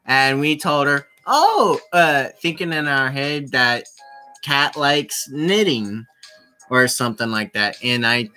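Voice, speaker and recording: Casual game streamer, sharp bright delivery, slow pace with repeated phrases, music underneath. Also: mid-range voice, expressive. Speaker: streamer playing a visual novel. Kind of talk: casual livestream commentary with read-aloud.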